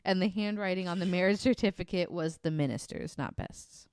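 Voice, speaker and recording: A woman's voice: fairly low pitch, fairly quick, darkish tone, no room sound. A woman hosting a true crime podcast in casual, giggly, informal talk. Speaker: podcast host.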